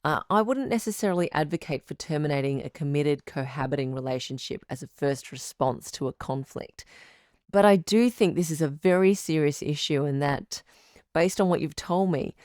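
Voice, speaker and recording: A woman playing a calm, supportive radio advice doctor in an audio drama, speaking in a measured, articulate, professional counselling tone. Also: deep voice, dry recording, studio quality, close to an everyday voice. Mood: firm, concerned. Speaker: radio advice show host, sex therapist.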